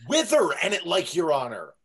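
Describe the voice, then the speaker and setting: Man playing a humble, animated chairman, roomy sound. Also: fairly high pitch, moderate pace. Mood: obliging. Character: humble, obliging sedan-chair carrier. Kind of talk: Restoration comedy stage acting over video call. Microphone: video call. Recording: roomy video-call sound.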